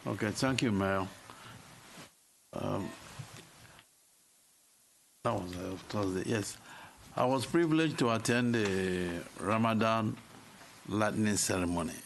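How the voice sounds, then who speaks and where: A man's voice: fairly low pitch, slow, gravelly, pauses often, slight background noise. A councilman giving a short, formal report at a meeting, repeating words as he goes.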